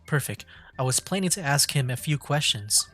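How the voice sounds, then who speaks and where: A man's voice: medium pitch, animated, normal speed, sharp tone. Easygoing male game streamer, music behind. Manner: easygoing, chuckling, rambling asides. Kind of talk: casual game stream commentary.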